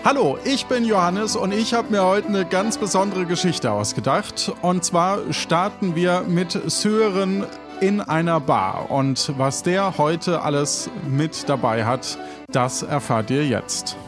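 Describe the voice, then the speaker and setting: A man's voice: sits high, unhurried, loud music behind the voice. A man hosting an improvised audio adventure show, introducing the story in a presenter's storytelling style.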